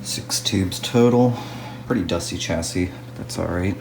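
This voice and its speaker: A man narrating a casual hands-on restoration video as a hobbyist, musing aloud to himself in an unhurried way. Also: deep voice, creaky, background noise.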